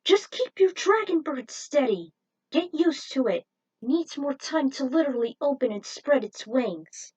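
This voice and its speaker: A woman's voice: high-pitched, animated, unhurried, pitched above the performer's own voice, low-quality recording. A woman voicing a bold, cocky veteran male racer who barks commands and taunts in a fan-fiction story. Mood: urgent, commanding. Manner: forceful, taunting, barking commands.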